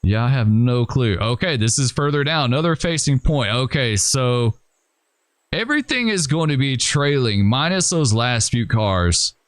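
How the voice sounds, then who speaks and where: A man talks through a train simulator game at an everyday middle pitch, rambling and hesitant as he thinks aloud. His voice is a little hoarse, and the recording is dry with no room sound. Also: animated, normal speed, dry recording. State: losing his voice. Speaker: gaming channel host. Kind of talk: casual gameplay commentary.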